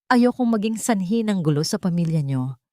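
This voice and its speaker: A woman's voice: mid-range voice, expressive, normal speed, warm tone, dry recording, studio quality, natural voice. A woman voicing a vengeful, calculating woman in an audiobook, sweet but cold in manner. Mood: sweetly probing. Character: vengeful woman feigning sweetness to her lover, coldly calculating.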